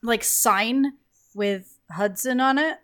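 A woman's voice: fairly high pitch, slow pace, a bit bright. A woman chatting casually about a video game as a podcast guest.

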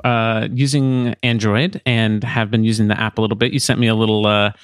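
A man hosting a podcast in casual chat, often halting as he explains things. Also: fairly low pitch, flat delivery, clean tone, dry recording. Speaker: podcast host.

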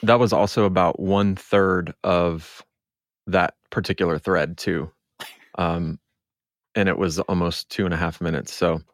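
A man with a deep voice talks casually as a podcast co-host. He sounds relaxed in format, as in an informal chat among regulars. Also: measured pace, dry recording, clean studio sound.

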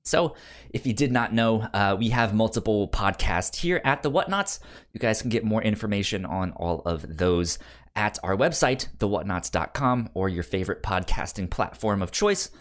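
Male podcast host, lively swings in pitch, enthusiastic, chatty, trips over words. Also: normal speed. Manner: upbeat and enthusiastic. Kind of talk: casual chat.

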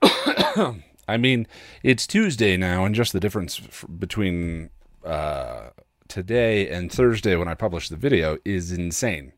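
A man with a cold talking casually and haltingly, with self-deprecating asides, as a solo podcast host. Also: low voice, wildly swooping pitch, dry recording, studio quality. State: congested with a cold.

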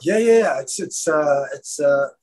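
A man from Lebanon, an actor guest, talking in a casual, animated way, often repeating his words. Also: a little high, unhurried, a little hiss or hum. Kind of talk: casual interview chat.

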